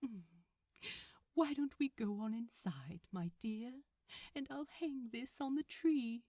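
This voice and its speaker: A woman voicing a gentle, fussy male angel in a story, speaking in a tender, genteel, gently teasing way. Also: a little high, expressive, slow, dry recording, clean studio sound. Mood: fond, coaxing.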